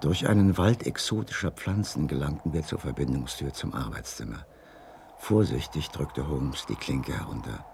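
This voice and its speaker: Very deep, gravelly male storyteller. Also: moderate pace, background noise. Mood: tense narrating. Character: Holmes's anxious loyal companion, narrating their nighttime burglary. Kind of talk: radio drama narration and dialogue.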